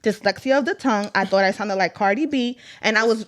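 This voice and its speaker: A woman co-hosting a podcast in casual chat, blunt and expressive, with a tendency to curse. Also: mid-range voice, quick pace. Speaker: podcast co-host.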